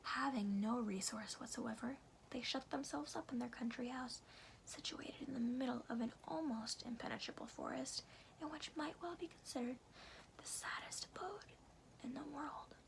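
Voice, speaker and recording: A woman reading a storybook aloud in a soft, breathy ASMR whisper, phrasing slowly and deliberately with careful pauses. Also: high voice, slight background noise, poor audio.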